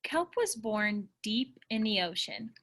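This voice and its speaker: A woman reading a children's picture book aloud in an expressive storytelling style. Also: medium pitch, takes it slowly. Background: music behind the voice.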